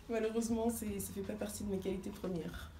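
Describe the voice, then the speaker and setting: A woman's voice: on the high side, echoey room, background noise. Giggly chatty woman in her twenties. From born in Guinea, raised in France. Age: 20s. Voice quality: giggly, rambling. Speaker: vlogger. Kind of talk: casual chat.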